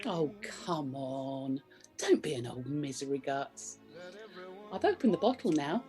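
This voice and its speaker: A woman playing a cheeky, frisky middle-aged British wife in a radio comedy play, expressive and suggestive. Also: sits low, measured pace, faint music behind. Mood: flirtatious.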